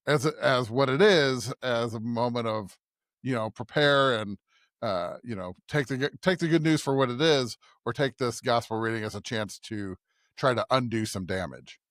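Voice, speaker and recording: A man's voice: medium pitch, moderate pace, fairly bright tone, dry recording. A man with a mid-range voice in a very dry recording. He chats as a podcast co-host about scripture, feeling his way through each thought and often restarting. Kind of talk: casual conversational commentary.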